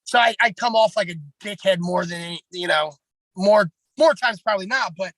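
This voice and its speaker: A man with a raspy voice, a pro wrestler talking bluntly and at length in a casual podcast chat, heavy on fillers. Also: high voice, fairly quick, fairly bright tone, no echo, rough recording.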